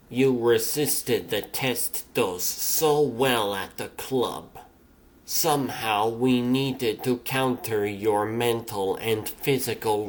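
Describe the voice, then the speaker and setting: Comic-dub henchwoman: male actor as cool clinical scientist, very slow, mid pitch, precise and politely detached. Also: slight background noise. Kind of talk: comic dub character acting.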